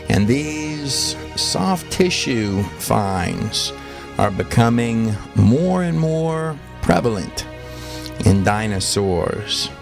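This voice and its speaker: A man hosting a documentary, narrating and interviewing in deliberate, halting phrases. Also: medium pitch, big pitch swings, vocal fry, music in the background. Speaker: host and interviewer.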